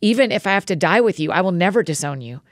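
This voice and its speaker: A woman's voice: fairly low pitch, lively intonation, fast talker, no echo, studio quality, close to an everyday voice. A woman reading the lines of an earnest, insistent male disciple with emphatic delivery.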